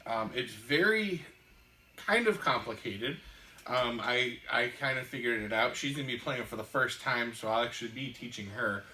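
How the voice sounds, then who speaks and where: Guy explaining a board game, lots of ums, bright tense voice, kinda far from the mic in an echoey room. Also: mid-range voice, lively intonation, slight background noise. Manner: halting, many ums and restarts. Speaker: podcast co-host teaching a board game. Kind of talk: casual explaining.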